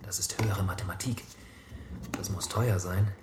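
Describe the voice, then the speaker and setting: An actor playing a practical young thief in a radio drama, hushed and matter-of-fact as he appraises things. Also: low voice, fairly even intonation, normal speed, close to an everyday voice. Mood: appraising, dismissive. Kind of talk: German radio drama acting. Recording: very noisy, echoing room.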